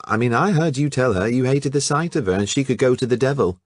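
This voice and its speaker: A man putting on a shrill, squeaky young woman, pitched far above his own voice. She talks fast and prickly, in an audiobook with a dry close sound. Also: dry recording, lightly acted. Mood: indignant.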